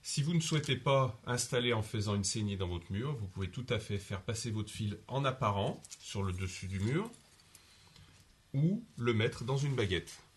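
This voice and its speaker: A man calmly narrating a home improvement job step by step, describing each action as he goes. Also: on the low side, normal speed. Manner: methodical, explains each step in first person. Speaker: DIY instructor.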